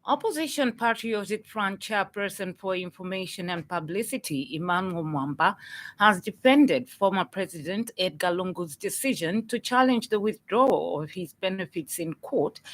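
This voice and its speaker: A woman with a Zambian accent reading a scripted radio news bulletin in a formal, measured manner. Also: medium pitch, lively intonation. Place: radio studio.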